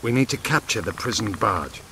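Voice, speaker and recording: An actor playing a proud, bitter elven rebel commander in a fantasy game, grave and declamatory. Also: male voice, fairly low pitch, animated, a little fast, vocal fry, noisy background. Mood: commanding. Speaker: video game character actor. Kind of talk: game dialogue acting.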